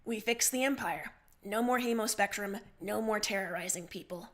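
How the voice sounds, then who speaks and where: A woman's voice: mid-range voice, moderate pace, fairly bright tone, natural voice. A woman voicing a blunt, impatient young male rebel emperor in a read-aloud story. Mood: exasperated.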